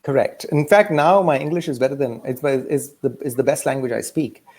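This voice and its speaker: A middle-aged man from Pakistan, an author guest who talks in a halting way with many fillers and restarts. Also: mid-range voice, a little mellow. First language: a language of Pakistan. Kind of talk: casual interview talk.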